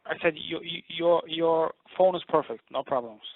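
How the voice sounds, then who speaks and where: A man's voice: fairly high pitch, normal speed, vocal fry. A man moderating a press call over the phone, making introductions in a hesitant, semi-formal way. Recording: narrow phone conference line.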